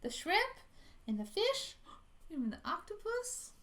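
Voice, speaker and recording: A woman hosting an animated storytime for preschoolers, expressive and often putting questions to her young listeners. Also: very high voice, takes it slowly, a little hiss or hum. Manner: expressive, addresses young children with questions and gasps. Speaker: children's librarian hosting storytime.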